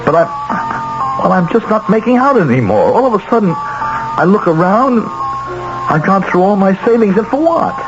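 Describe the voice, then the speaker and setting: Anxious, wavering husband, muffled old radio. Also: male voice, high-pitched, very animated, moderate pace. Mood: troubled, self-pitying. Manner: hesitant, conscience-stricken, wavering. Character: anxious, money-strapped married research analyst tempted into murder. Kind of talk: 1970s radio drama acting. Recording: muffled vintage radio, music behind.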